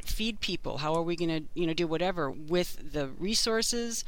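A man with a bright, crisp voice talks as a co-host on a book discussion. He explains things in an animated, casual way, often throwing out rhetorical questions. His delivery is enthusiastic and clean. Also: a little high, moderate pace. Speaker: talk show co-host.

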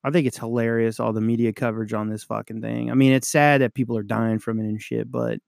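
Brief: young man, gravelly voice, profane cop storyteller, dry room sound. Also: medium pitch, level tone, fast talker, a little mellow, no echo. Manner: swears a lot, laughs, tells war stories. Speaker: podcast co-host, police officer. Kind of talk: casual profane chat.

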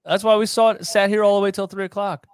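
A man's voice: high-pitched, fairly even intonation, fast, smooth, no echo, studio quality. Casual, slangy male sports stream co-host. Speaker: livestream co-host. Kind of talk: casual sports livestream chat.

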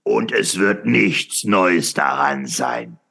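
A brooding philosopher in a radio play. His bright, forceful voice crackles with gravel and swings widely in pitch. He declaims fervently, halting and repeating himself for dramatic weight. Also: big pitch swings, full character voice. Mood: brooding, intense. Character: fervent, brooding male philosopher proclaiming eternal return. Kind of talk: theatrical radio play monologue.

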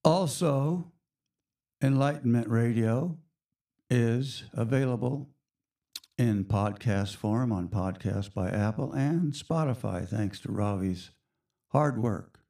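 A hoarse man hosting a spiritual radio show, talking in a slow, reflective, chatty way to his listeners. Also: mid-range voice, warm tone. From United States.

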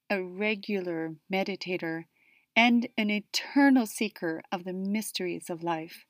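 Female host with a measured, deliberate read, dry close sound. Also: mid-range voice, no room sound. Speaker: interviewer and host. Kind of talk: reading an introduction, then interviewing.

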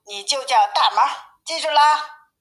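A woman's voice: high voice, a little slow, fairly bright tone, pitched above the performer's own voice. A woman voicing a caring, bossy mother bear in a children's storybook, instructing and calling out commands.

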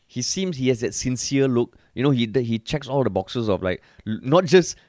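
A man's voice: medium pitch, expressive, moderate pace, a little mellow, dry recording. Male podcast co-host, Singaporean accent, mid-range voice, dry recording, informal talk with casual swearing. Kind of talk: casual conversational political chat.

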